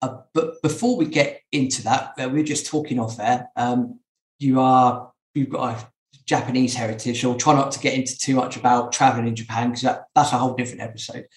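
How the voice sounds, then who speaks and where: Man, podcast host, casual interviewing style, medium pitch, echoey room sound. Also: steady tone, a little fast.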